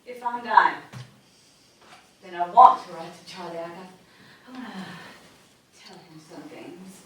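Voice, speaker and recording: A woman playing a dying, bitter young woman in a stage play, scornful and prone to shouting, recorded from far off in an echoey studio. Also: on the low side, animated, a little slow. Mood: weary. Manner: shouting, scornful, feverish outbursts. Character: dying bitter young woman, sick in bed, fierce temper. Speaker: stage actor. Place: small theater studio.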